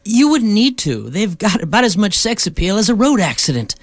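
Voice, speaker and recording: A woman's voice: medium pitch, sing-song, fairly quick, dry recording. A woman playing a breezy, knowing alien hitchhiker, matter-of-fact, teasing and reassuring, in comic sci-fi radio play dialogue.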